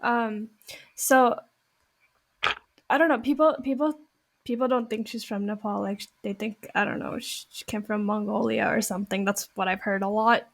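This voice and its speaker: A woman's voice: mid-range voice, steady tone, moderate pace, creaky, a little hiss or hum. A young woman co-hosting a podcast, chatting casually and hesitantly, often repeating words. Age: young adult. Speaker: podcast co-host. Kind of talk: casual chat.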